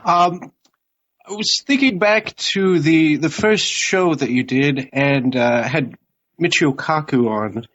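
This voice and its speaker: A man calling in to a radio show, chatting casually and politely with hesitant pauses. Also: a little high, fairly bright tone. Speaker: call-in listener. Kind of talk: casual radio call-in chat. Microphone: internet call. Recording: slightly dull internet call audio.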